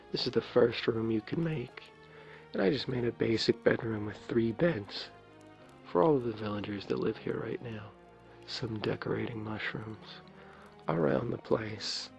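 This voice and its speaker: A man with a low raspy voice giving an ASMR gameplay tour as a video creator, talking softly in a hesitant, rambling way. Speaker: video creator showing his game builds. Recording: dull lo-fi mic, clear background music. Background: game music.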